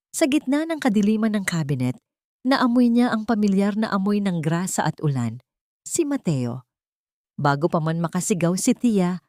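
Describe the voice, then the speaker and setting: A woman's voice: lowish voice, dry recording, studio quality. A woman narrating a dramatic audiobook story, voice rising and dipping for suspense. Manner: expressive, rises and dips for suspense.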